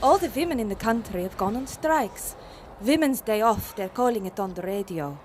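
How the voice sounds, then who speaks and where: An actress playing a warm, proud feminist mother from Iceland in a radio play, brisk and welcoming as she explains things. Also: medium pitch, lively intonation, slight background noise, close to an everyday voice.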